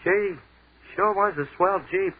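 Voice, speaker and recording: Easygoing young army sergeant, folksy slang, high husky voice, tinny old radio broadcast. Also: male voice, steady tone, measured pace. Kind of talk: 1940s radio acting.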